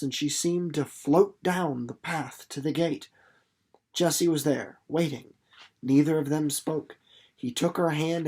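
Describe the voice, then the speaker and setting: Expressive storybook narrator. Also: medium pitch. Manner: dramatic storytelling, slowing and softening for suspense. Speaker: audiobook narrator reading a novel chapter. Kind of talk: scripted literary narration.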